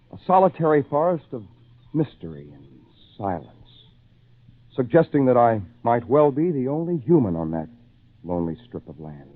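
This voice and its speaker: An actor playing a lonely shipwrecked man who tells his own tale in earnest, theatrical, measured phrases with dramatic pauses, in old-time radio style. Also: mid-range voice. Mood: weary, brooding. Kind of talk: old-time radio drama acting, first-person narration. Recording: tinny, band-limited old radio recording, faint noise.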